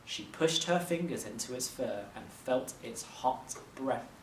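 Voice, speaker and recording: A man narrating a children's story expressively, like a teacher reading aloud, with measured dramatic phrasing. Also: medium pitch, animated. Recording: roomy, distant mic, faint noise.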